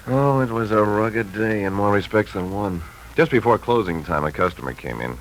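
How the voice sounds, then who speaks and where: An American actor playing an affectionate, weary young husband in a 1940s radio drama, with music behind him. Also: male voice, lowish voice, expressive, normal speed, slight background noise, natural voice, poor audio. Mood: tender, tired. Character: affectionate, weary young husband, hard-working shoe salesman. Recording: vintage 1940s radio recording. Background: loud music.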